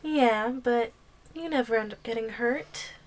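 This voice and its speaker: A woman plays a possessive yandere giantess in an ASMR roleplay. Her voice sits fairly high and she takes her time over every phrase. She teases playfully, humming and sighing as she toys with her tiny partner. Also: lightly acted. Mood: reassuring, coaxing. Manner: slow, playful, teasing delivery with hums and sighs. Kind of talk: ASMR audio roleplay acting.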